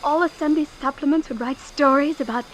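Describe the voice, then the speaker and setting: A faintly noisy vintage radio recording has an actress as a young heiress with a high, girlish voice. She is airy, flighty and spoiled, pouting easily and sounding chillingly blithe and naive. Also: brisk, close to an everyday voice. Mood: airy, dreamy. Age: young adult. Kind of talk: old-time radio drama acting.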